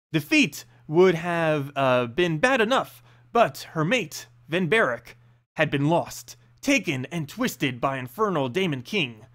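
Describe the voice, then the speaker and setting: A man's voice: sits high, a bit bright. A man reading a fantasy story aloud as a narrator, with a dramatic storytelling delivery.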